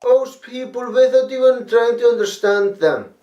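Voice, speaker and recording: A man's voice: very high-pitched, animated, normal speed, mellow, echoey room, muffled recording. A man reading a prepared critique in a blunt, profane, lecturing manner. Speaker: video critic. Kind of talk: reading a prepared response video.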